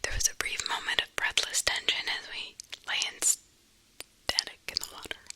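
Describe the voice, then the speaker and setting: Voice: moderate pace, sharp tone. A storyteller narrating a first-person horror story entirely in a whisper, ASMR style, sometimes repeating words for effect.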